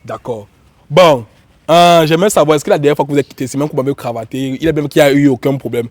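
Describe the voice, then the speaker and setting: Man, Cameroonian accent, fairly high pitch, head cold, informal show host, repetitive delivery, dry sound. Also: fast talker, a little mellow, no echo. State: has a cold. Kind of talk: informal presenting and questioning to viewers and guests.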